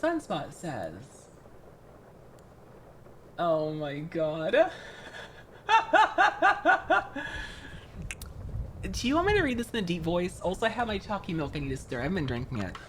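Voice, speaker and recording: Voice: medium pitch, wildly swooping pitch, normal speed, pauses often, a bit bright, slight background noise. A streamer chatting casually with viewers while building a model kit, rambling from one thought to the next and greeting people by name. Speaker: VTuber streamer.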